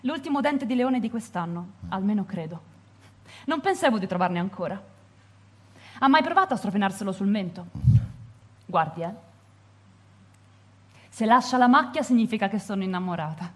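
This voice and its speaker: Dreamy, husky-voiced young girl. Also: mid-range voice, expressive, moderate pace, long pauses. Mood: whimsical. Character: odd, dreamy young girl who walks in the rain. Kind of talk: radio drama acting.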